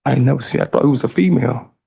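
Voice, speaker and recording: Gravelly, husky man testifying defensively. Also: medium pitch, mellow. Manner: emphatic, defensive, rushed and run-on answers. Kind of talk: courtroom testimony under cross-examination. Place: courtroom.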